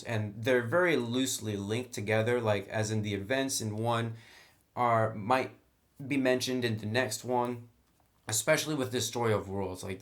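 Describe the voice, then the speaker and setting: A man's voice: mid-range voice, a bit bright. A man in a chatty, enthusiastic style, reviewing a tabletop roleplaying game. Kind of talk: casual review talk.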